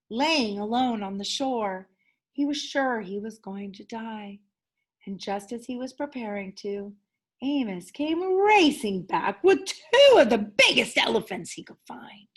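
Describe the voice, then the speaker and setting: A woman teacher reading a children's story aloud expressively, leaning dramatically on key words. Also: sits high.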